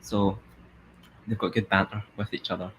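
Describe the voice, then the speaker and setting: A young man with a Glasgow-area Scottish accent, chatting excitedly and laughing as he comments on a live stream. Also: lowish voice, normal speed, muffled recording, slight background noise. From Scotland.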